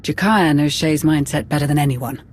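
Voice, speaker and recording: A man's voice: fairly high pitch, normal speed, close to an everyday voice. A man voicing an earnest, uneasy Imperial aide in a video game cutscene, speaking in a formal, concerned way. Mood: businesslike.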